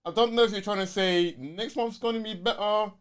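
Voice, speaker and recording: A man's voice: high voice, fairly even intonation, brisk, a bit bright, clear, resonant tone. A man with a London accent co-hosting a gaming podcast, chatting casually in slang as he reasons things through. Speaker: podcast co-host.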